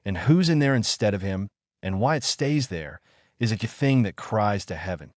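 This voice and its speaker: A man's voice: lowish voice, lively intonation, moderate pace, dry recording, studio quality, lightly acted. A man voicing an anxious android butler in an audiobook, formal, deferential and urgent. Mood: distressed, insistent.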